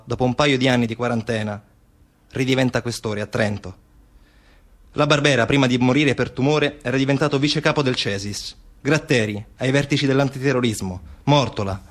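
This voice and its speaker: A man narrating a theatrical monologue as a stage actor. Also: on the low side.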